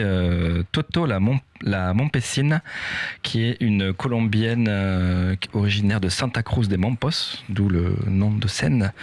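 A man co-hosting a music show, presenting an artist from his notes, halting with many fillers. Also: lowish voice, no echo. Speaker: radio music show co-host. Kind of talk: casual presentation reading from notes.

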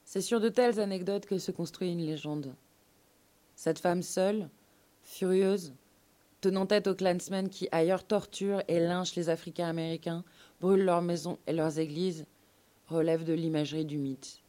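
Low, mellow woman narrator. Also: fairly even intonation, moderate pace. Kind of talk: scripted documentary storytelling for radio.

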